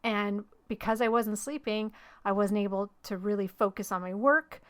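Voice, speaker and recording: A middle-aged woman hosting her own podcast, slightly hoarse from losing her voice, talking openly and personally about her own story. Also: mid-range voice, steady tone, normal speed, fairly bright tone. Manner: open, personal storytelling with light laughs. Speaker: podcast host and life coach. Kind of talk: solo podcast monologue.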